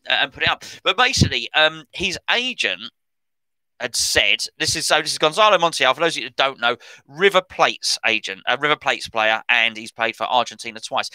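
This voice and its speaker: A man's voice: medium pitch, pushed and bright, no room sound, low-quality recording. Chatty middle-aged man. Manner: chatty, rambling, self-correcting. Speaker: football podcast host. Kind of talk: casual commentary on transfer news.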